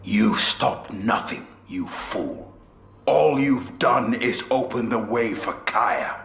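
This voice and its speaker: An actor playing a bitter, accusing soldier in a game cinematic. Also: male voice, fairly low pitch, takes it slowly, gravelly, fairly bright tone, exaggerated voice. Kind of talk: dramatic game cinematic acting. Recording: echoey, faint noise.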